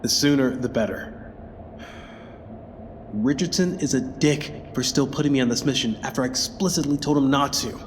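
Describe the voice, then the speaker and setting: A man's voice: mid-range voice, normal speed, slight background noise, lightly acted. A man playing an anxious, bitter, foul-mouthed spacecraft crew member in an audio drama. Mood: bitter muttering. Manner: muttering to himself, swearing, sighing. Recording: long hall-like reverb under loud music.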